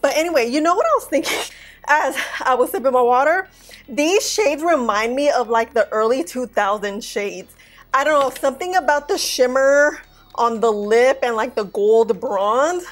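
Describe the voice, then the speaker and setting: A woman in her thirties, a beauty vlogger, giving a loud, excitable, chatty makeup review straight to camera at home. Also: high voice, normal speed. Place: indoor filming set with plain backdrop.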